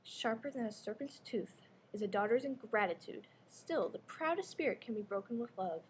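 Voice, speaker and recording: A woman's voice: fairly high pitch, expressive, moderate pace, lightly acted, low-quality recording. A woman playing a sinister, possessive mother in readers theater, archly formal and sugary as she coaxes and scolds. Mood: sweetly coaxing. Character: sinister other mother, sweetly possessive and controlling. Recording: faint background noise, live ambience.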